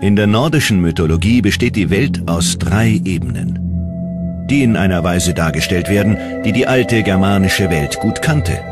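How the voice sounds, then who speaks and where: Deep male doc narrator, dramatic and measured, loud music underneath. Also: expressive. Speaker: documentary narrator. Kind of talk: scripted documentary narration. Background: loud background music.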